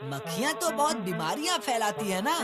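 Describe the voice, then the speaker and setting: A woman's voice: fairly high pitch, big pitch swings, a little fast, over loud music. A woman voicing a cheeky, good-natured boy in a cartoon, eager and insistent.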